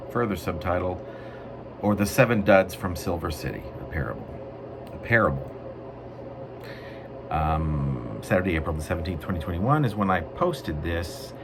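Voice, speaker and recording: A man reading his own writing as a poet, with deliberate, emphatic phrasing. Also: deep voice, noisy background. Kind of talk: dramatic poetry reading with casual spoken introduction.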